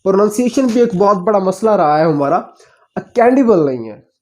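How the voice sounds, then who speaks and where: A man from Pakistan with a Pakistani accent, teaching English vocabulary in a slow classroom style, repeating each word and quizzing his listeners. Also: sits high, lively intonation, darkish tone. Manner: repeats each word several times and asks the listener questions.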